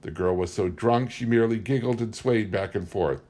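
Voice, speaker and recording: Very deep-voiced man reading a story. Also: moderate pace, a little mellow. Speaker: audiobook reader. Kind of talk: scripted story narration.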